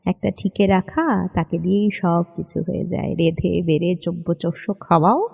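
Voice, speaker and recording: A woman's voice: fairly low pitch, fairly even intonation, fairly quick, creaky, darkish tone, breathy edge. A woman playing a weary young housewife and mother in a family sketch, sighing and sarcastic. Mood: bitter sarcasm. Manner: sighing, sarcastic, long-suffering. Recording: reverberant hall-like echo.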